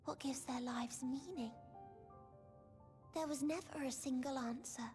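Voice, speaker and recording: A woman voicing a gentle, sorrowful, childlike otherworldly girl in a video game cutscene, softly and breathily, with tender, wistful solemnity. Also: high voice, a little slow, faint music behind. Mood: wistful, awed. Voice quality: soft, breathy.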